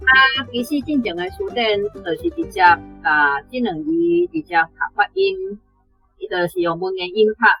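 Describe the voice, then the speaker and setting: A woman's voice: fairly high pitch, big pitch swings, normal speed, slightly rough, no echo, lo-fi sound, faint music behind. A woman with a Taiwanese accent explaining as a language teacher, hesitating and adding little tag particles. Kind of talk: spontaneous spoken explanation.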